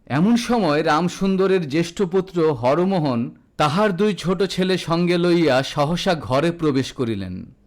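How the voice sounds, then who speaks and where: Higher-voiced man narrating a literary story. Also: expressive, unhurried.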